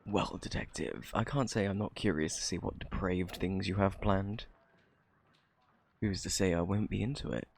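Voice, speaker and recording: An actor playing a smug, flirty thief in a British accent, teasing and coy, in an ASMR roleplay. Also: male voice, low-pitched, normal speed, creaky, darkish tone, a little hiss or hum. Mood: playful, smug. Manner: teasing, drawling, theatrically coy.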